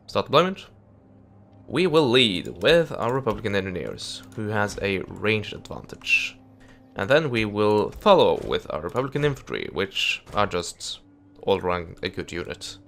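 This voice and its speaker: A man's voice: on the low side, a bit bright. A young man with a stuffy nose and nasal voice, chatting casually while commenting on a strategy game. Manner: chatty, muttering to the game, mild swearing. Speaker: gameplay commentator. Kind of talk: let's play commentary.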